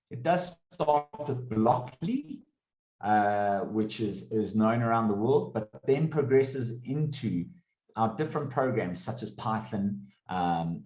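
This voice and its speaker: A man's voice: medium pitch, darkish tone. A man gives an upbeat, casual presentation over a video call. His voice carries a roomy echo, and the sound is slightly muffled. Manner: casual and upbeat, with fillers and restarts. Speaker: presenter of a coding course. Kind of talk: online open day presentation.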